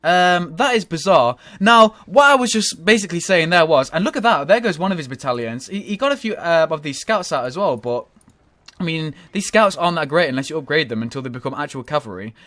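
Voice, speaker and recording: A man with a cold commentating on a strategy game in a casual, rambling way, sounding nasal and often pausing to correct himself. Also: a little high, lively intonation, bright, forceful tone, dry recording. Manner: rambling, with frequent ums and self-corrections.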